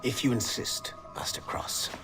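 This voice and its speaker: An actor playing a haughty, loyal servant of a secret order, formal and smug, in a video game cutscene. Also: male voice, unhurried, in a whisper, loud music behind the voice, close to an everyday voice.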